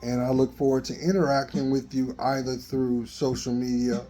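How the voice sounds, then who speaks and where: A man in his fifties with a dry voice, giving a slow, earnest motivational talk into a phone in a garage. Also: mid-range voice, flat delivery, mellow, faint music behind. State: dry voice, coughing. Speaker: vlogger. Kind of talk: motivational selfie vlog. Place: open garage, daytime. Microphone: phone at arm's length.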